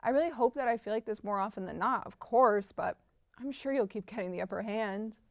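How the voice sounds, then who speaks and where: A woman playing an earnest, anxious artist in an audio drama, talking in a casual, halting way. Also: mid-range voice, no room sound, clean studio sound, close to an everyday voice. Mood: placating, candid. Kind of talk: naturalistic audio drama acting.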